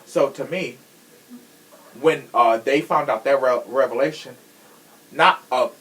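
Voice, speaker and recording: Guy hosting a casual podcast, sassy n blunt, swears a lot, average pitch. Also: unhurried, fairly bright tone, poor audio. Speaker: podcast host. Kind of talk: casual true crime chat.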